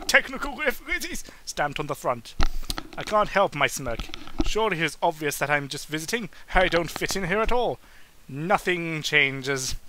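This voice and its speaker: A man's voice: a little high, bright, forceful tone, poor audio. A man giving a mocking, theatrical dramatic reading of a book, often tripping over his words and tossing in asides.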